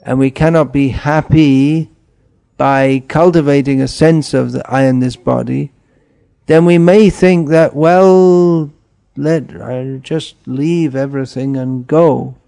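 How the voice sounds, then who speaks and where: Man, middle-aged, spiritual teacher: slow, unhurried delivery, gentle and chuckling. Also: medium pitch, gravelly. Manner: gentle, chuckling, questions the listeners. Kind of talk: informal spiritual talk.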